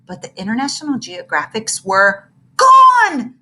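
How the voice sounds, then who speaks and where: A stubborn young schoolboy in a children's storybook, acted out by a woman reader. He is sceptical and blunt, with a lively, changeable pitch. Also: a little slow, full character voice. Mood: shocked. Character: Lenny, a stubborn, sceptical fourth-grade schoolboy.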